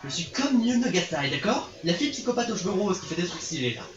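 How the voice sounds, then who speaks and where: A creepily sweet, lovestruck young kidnapper from a visual novel, acted out by a man, theatrical and on the higher side. The recording is muffled and roomy. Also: sing-song, fast talker, music in the background. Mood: menacing, obsessive. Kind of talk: amateur visual novel voice acting. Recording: muffled, echoey, noisy amateur recording.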